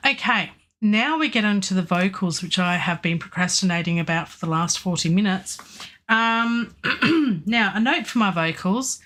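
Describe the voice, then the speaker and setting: A woman's voice: medium pitch, expressive, unhurried, fairly bright tone. An Australian woman chatting through a live music-production stream, rambling with many ums and restarts, her voice worn by a lingering cough. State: recovering from a virus, lingering cough and weak voice. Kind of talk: casual live-stream talk about mixing a track.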